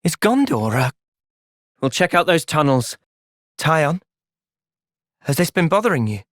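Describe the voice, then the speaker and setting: An actor voicing an earnest, thoughtful young male soldier and leader in a video game, with an English accent and a measured delivery. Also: a little high, sing-song, no room sound, clean studio sound. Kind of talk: video game cutscene acting.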